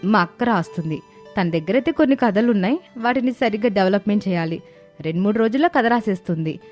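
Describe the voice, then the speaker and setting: A woman voicing an earnest, expressive young sister in a dramatized cartoon moral story. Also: mid-range voice, quick pace, close to an everyday voice. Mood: pleased. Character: young sisters, eager amateur video makers. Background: background music.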